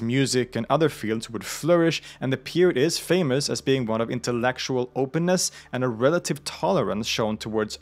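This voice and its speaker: Man narrating a history documentary. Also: mid-range voice. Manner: measured, informative, with the odd aside. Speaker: host of a religion history channel.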